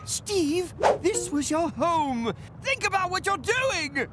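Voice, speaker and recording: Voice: very high voice, very animated, takes it slowly, very noisy, heavily acted. A performer voicing a wise, fretful ape mentor in a cartoon, telling things in a dramatic, articulate way. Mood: earnest. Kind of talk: animated cartoon voice acting. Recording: loud music behind the voice.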